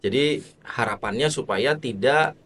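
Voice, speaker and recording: A man hosting a podcast, introducing and reacting to clips in a slow, hesitant way with frequent fillers. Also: mid-range voice, noisy background. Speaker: podcast host. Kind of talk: casual reaction podcast chat.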